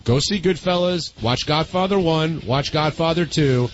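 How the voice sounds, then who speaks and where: A man's voice: on the high side, normal speed. A man hosting a casual radio call-in show, heard on a muffled broadcast recording with some noise. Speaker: radio show host taking calls.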